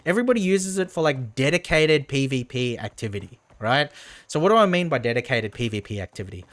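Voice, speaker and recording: A man's voice: mid-range voice, animated, quick pace, a bit bright, dry recording. A man casually commentating on a game as he livestreams, rambling and often repeating his phrases. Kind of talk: casual gameplay commentary and chat.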